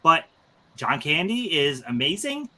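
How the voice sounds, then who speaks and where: Male host, 30s, bright tone, eager rambling delivery. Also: fairly high pitch, sing-song, unhurried. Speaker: stream host. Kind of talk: casual panel chat.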